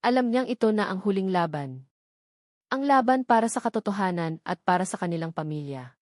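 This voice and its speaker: Female storyteller for scripted romantic drama narration, medium pitch, very dry close sound. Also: lively intonation, fairly quick, a little mellow, no room sound.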